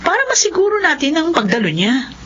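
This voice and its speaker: Animated, take-charge Filipino woman friend. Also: high-pitched, a little fast. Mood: sympathetic, decisive. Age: middle-aged. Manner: animated, encouraging. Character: upbeat, take-charge former high school classmate visiting home. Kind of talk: classic Tagalog radio drama acting. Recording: dull, lo-fi, faintly noisy radio recording.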